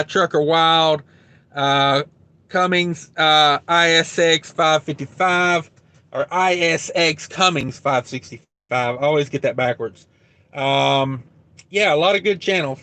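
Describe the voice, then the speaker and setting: A man's voice: fairly high pitch, pushed and bright. A man hosting a trucking livestream, rambling casually with lots of fillers while reading out viewer comments, his throat getting dry. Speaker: truck driver livestream host.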